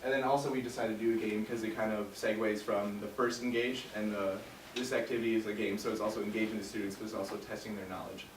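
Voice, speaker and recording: Young male student presenter, low voice, easygoing with fillers, distant echoey room, background noise. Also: fast talker. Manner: relaxed, filler-heavy explaining. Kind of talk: class presentation. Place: large dim classroom. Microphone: far camera. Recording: echoey room from far off, noisy.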